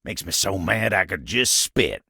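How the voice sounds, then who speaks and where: An actor voicing a gentle, folksy living table in a video game, with a gravelly American Southern drawl and a humble, earnest manner. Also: male voice, low voice, sing-song, a little slow, no echo, clean studio sound, full character voice. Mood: irritated, grumbling. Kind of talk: video game character voice acting.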